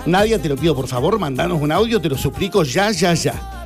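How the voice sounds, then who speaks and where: A man hosting a casual studio chat show, chatty and animated, reading out viewer messages. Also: fairly high pitch, fairly quick, soft background music. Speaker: show host.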